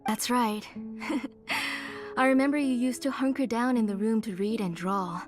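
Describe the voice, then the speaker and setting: An actress playing a soft-spoken, motherly middle-aged woman in a game, with faint music behind. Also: a little high, fairly even intonation, measured pace, lightly acted. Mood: tender. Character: gentle tired middle-aged mother, caring aunt.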